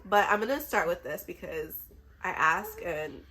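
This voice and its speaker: A woman's voice: on the low side, unhurried, bright, forceful tone. Chatty woman, casual book vlogger, slightly sick. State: a little sick. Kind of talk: casual chat to camera.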